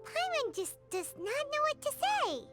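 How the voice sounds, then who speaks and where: Tiny fairy girl, game character, squeaky, very high, bouncy sing-song delivery, childlike. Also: sing-song, a little slow, music in the background, heavily acted. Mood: chirpy. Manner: childlike, speaks of herself in third person. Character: tiny floating female fairy companion, chirpy. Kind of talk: video game voice acting.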